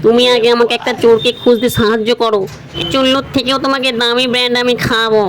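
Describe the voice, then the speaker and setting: A man's voice: extremely high pitch, flat delivery, very fast, a bit bright, slight background noise. A man voicing an anxious, pleading scribe of the underworld in a comic cartoon, urgent and full of exclamations. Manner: urgent, exclaiming, deferential to his lord. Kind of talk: comic Bengali cartoon dubbing. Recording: music behind the voice.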